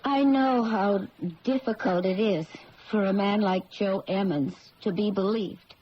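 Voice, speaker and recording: An actress playing an earnest young woman pleading emotionally in an old radio drama. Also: medium pitch. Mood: slow, heartfelt. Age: young adult. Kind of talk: 1970s radio drama acting. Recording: muffled, noisy old radio broadcast.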